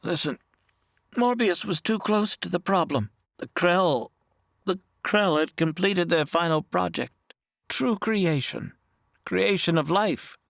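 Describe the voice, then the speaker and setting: A dazed, dying doctor, acted by a man, strains through slow, halting words. The delivery is dramatic retro radio parody, captured close with no room sound. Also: sits high, vocal fry, fairly bright tone, no room sound, full character voice. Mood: dazed, urgent.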